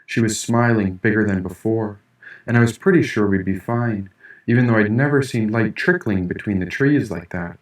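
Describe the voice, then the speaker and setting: A man's voice: lowish voice, normal speed. Echoey, distant male audiobook narrator. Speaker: author reading own audiobook. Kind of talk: first-person fiction narration. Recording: echoey room, distant mic.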